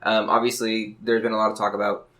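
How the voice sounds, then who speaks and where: A man's voice: fairly low pitch, monotone, quick pace, a bit bright. A man discussing a card game on a podcast in a chatty, explanatory way.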